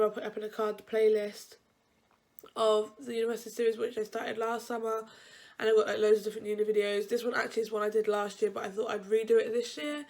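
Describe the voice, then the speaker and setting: A young woman vlogger with a blocked-up nose, chatting in a rambling way and often correcting herself as she gives advice about university. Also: fairly high pitch. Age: young adult. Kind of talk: casual advice vlog.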